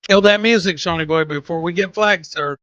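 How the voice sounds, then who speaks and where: Bright, high-pitched man riffing on a podcast. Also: a little fast, dry recording, dull audio. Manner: rambling, jokey, repeats catchphrases. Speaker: host of a live comedy podcast. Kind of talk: casual live reaction commentary on funny clips.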